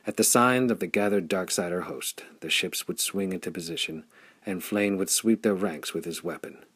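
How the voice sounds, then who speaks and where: A man's voice: low voice, normal speed, fairly bright tone, husky, dry recording. A man reading a pulp adventure story as scripted audiobook narration.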